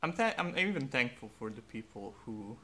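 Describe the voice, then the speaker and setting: A young man, a native Hungarian speaker, speaking slowly and earnestly in a reflective personal monologue with faint background noise. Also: medium pitch, very animated, pushed and bright. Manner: slow, thoughtful, earnest. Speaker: vlogger.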